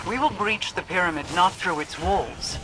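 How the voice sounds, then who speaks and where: A woman's voice: lowish voice, moderate pace, close to an everyday voice, rough recording. An actress playing a solemn, commanding mystic witch who speaks formally and portentously in a fantasy video game. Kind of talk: cinematic game voice acting. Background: loud music.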